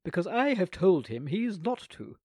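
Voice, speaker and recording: A man's voice: high voice, sing-song, normal speed, darkish tone, dry recording, studio quality, voice pushed up. A man voicing a strict, self-important aunt in a storybook reading, speaking loftily and primly. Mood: lofty. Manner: lofty, prim. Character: strict, self-important middle-aged woman guardian.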